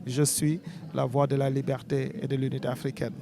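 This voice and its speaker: A man with a Senegalese French accent, interviewed at an outdoor event, speaking in an earnest, measured, rhetorical way. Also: medium pitch, flat delivery, vocal fry. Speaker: artist interviewee. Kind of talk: street interview, spoken-word declamation. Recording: crowd noise behind.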